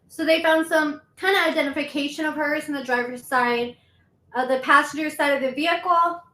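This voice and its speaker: A woman's voice: high-pitched, fairly even intonation, a little fast, bright, forceful tone. A woman hosting a commentary video, chatting and explaining events step by step. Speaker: commentary host. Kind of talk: casual commentary. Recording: roomy echo.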